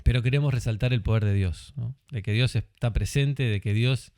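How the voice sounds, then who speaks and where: A man's voice: mid-range voice, moderate pace, a little mellow, no room sound, clean studio sound. Chatty Uruguayan man hosting a podcast. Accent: Uruguayan Spanish. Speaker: podcast host. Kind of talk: casual chat. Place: studio.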